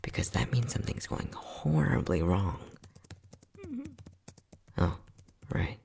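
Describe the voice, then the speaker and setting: A man acting as an unhinged, playful and casually cruel scientist in an ASMR roleplay, flippant and sardonic, with a husky voice that often drops near a whisper. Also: medium pitch, wildly swooping pitch, slow, darkish tone, full character voice. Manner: flippant, sardonic, mock-soothing, muttering.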